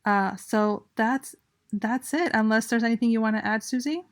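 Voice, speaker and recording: A woman hosting an online audio chat, measured and friendly, with fillers. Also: fairly high pitch. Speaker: host.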